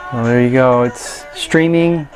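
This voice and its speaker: A man reviewing a gadget in a casual, unscripted way, with a hesitant, halting and rambling manner. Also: medium pitch, soft background music, low-quality recording. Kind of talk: casual unscripted product demonstration.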